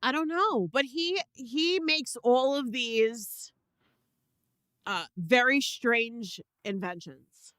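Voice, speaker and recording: A woman with a Brooklyn accent talks casually on an internet radio show, her voice sitting a little high. She restarts sentences and leans on fillers as she chats. The sound is dry and close. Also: slow pace, fairly bright tone, smooth, dry recording. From Brooklyn, New York. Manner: chatty, with frequent restarts and fillers. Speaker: co-host.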